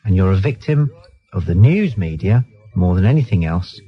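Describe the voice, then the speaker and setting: A man's voice: sits low, lively intonation, normal speed, slightly rough. A British man hosting a late-night phone-in, arguing in a blunt, dismissive way and insistently repeating himself. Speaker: radio phone-in host. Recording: dull lo-fi radio recording.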